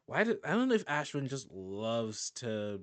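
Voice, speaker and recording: A man's voice: medium pitch, sing-song, unhurried, a bit bright, no echo. A man giving casual, rambling reaction commentary on a video, often restarting and laughing. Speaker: YouTube reaction host.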